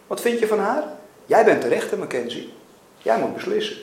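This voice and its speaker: A man's voice: high voice, very animated, normal speed, vocal fry, darkish tone, pitched above the performer's own voice, exaggerated voice. Man reading as insistent, probing God in echoey room. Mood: insistent, challenging. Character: God, stern insistent questioner, softening to quiet tenderness. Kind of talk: dramatic reading of a novel. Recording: echoey room with faint noise.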